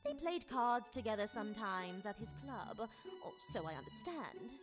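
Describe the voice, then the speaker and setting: Genteel, animated society widow. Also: female voice, fairly high pitch, moderate pace. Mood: wistful, chatty. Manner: mannered, prim, chatty upper-class phrasing. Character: genteel, gossipy society widow, married more than once. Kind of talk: voiced visual novel dialogue. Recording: music behind the voice. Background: game music.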